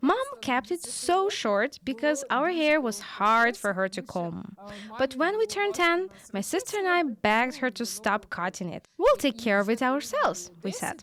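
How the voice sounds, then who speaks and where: A woman speaks a first-person voice-over translation in a lively, conversational way. Her voice sits a little high, and her pitch rises and falls a lot. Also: normal speed. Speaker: voice-over interpreter.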